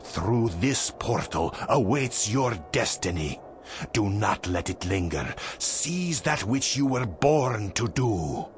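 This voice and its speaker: A man playing a solemn, commanding sorcerer in a fantasy game cutscene, speaking in a formal, measured, portentous way. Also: lowish voice, big pitch swings, background noise. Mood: grave, commanding. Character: solemn, commanding male sorcerer guiding a chosen hero.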